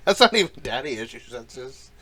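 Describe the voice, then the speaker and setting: A man's voice: medium pitch, very animated, brisk, sharp tone, a little hiss or hum. Rambling young man, crude joking. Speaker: podcast co-host. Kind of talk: casual chat.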